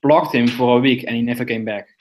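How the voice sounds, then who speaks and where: Halting man repeating words. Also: medium pitch, fairly even intonation, a little mellow, slight background noise. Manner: halting, repeats words, some grammar slips. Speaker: wiki moderator. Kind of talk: casual group chat.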